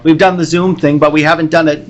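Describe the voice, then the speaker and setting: A man hosting a podcast, casually introducing a live reading in a halting, hesitant way. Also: a little high, little pitch movement, a little hiss or hum. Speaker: podcast host. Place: comic convention.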